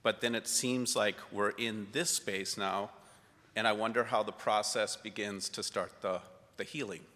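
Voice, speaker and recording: American male audience member asking a tentative question; lowish, clearly bright voice on a reverberant hall mic. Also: steady tone, a little slow. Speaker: high school teacher asking an audience question. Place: large hall, public event.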